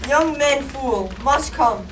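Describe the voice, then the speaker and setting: A woman's voice: high voice, lively intonation, takes it slowly, distant mic, slight background noise, low-quality recording. A woman voicing an insistent old Native grandfather who speaks clipped broken English.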